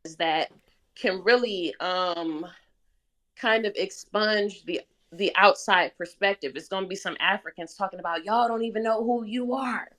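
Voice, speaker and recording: A woman talking casually as a panelist, explaining her reasoning in a measured way. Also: medium pitch, a bit bright. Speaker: discussion panelist. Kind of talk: casual discussion.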